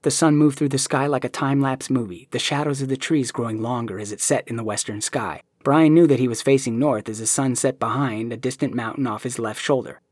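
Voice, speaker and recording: A man reading a novel aloud in an even storytelling manner, his voice husky and breathy. The sound is close and dry, with no room around it. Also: medium pitch, brisk, hardly any pauses, no room sound. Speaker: narrator. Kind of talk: audiobook narration.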